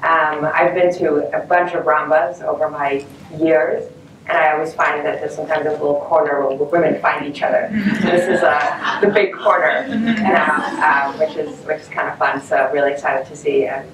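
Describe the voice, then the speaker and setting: A woman's voice: sits low, fairly even intonation, moderate pace. A woman panelist speaking conversationally at a conference, with frequent restarts. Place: conference stage. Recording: roomy, distant mic, faint noise.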